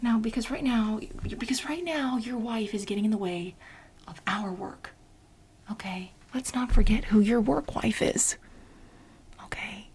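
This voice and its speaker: A woman playing an obsessive, exasperated administrative assistant in a soft-spoken, whispery ASMR role play, insistent and repeating herself as she pleads with her boss. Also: on the high side. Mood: possessive, indignant. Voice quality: breathy, whispery. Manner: insistent, repetitive, halting for emphasis.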